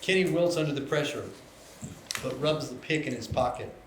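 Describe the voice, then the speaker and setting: A man's voice: medium pitch, moderate pace. A man reading stage directions as narrator in scripted narration at a live table read. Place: live event room. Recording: echoey room, clear background noise.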